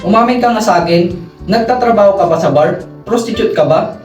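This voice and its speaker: A woman's voice: medium pitch, brisk, a little mellow, faint music behind, close to an everyday voice. A blunt, worried young friend, acted by a woman in a radio drama. She sounds exasperated, recorded far from the mic in an echoey space. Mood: confronting. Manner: confronting, exasperated.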